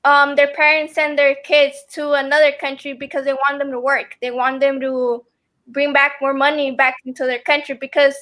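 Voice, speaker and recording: A woman's voice: sits high, flat delivery, normal speed, sharp tone. A young woman whose first language is Spanish, a student guest on a video call, speaking earnestly with many ums. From family from El Salvador. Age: young adult. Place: room at home.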